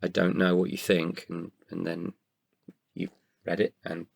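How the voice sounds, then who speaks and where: A man with a deep voice that often drops into fry. He speaks in a reflective, personal interview style, breaking sentences with pauses as he goes. The recording is close and dry. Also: completely flat delivery, dry recording. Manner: halting, pausing mid-sentence. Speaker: actor and guest writer.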